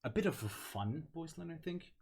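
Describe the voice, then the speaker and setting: A man's voice: a little high, expressive, moderate pace, creaky, a little mellow, husky. A man presenting game voice lines in casual banter, playful and given to explaining.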